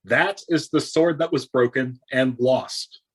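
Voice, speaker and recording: An actor playing a proud, impassioned warrior, declaiming loudly at a script table read. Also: male voice, medium pitch, takes it slowly, husky. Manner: loud, declamatory.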